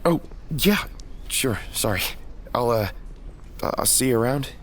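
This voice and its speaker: A man's voice: mid-range voice, animated, a little slow, slight background noise, natural voice. A man playing an earnest young hero, narrating and acting his own story in a dramatic audio drama style. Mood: awkward, sincere. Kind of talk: first-person audio drama narration and dialogue.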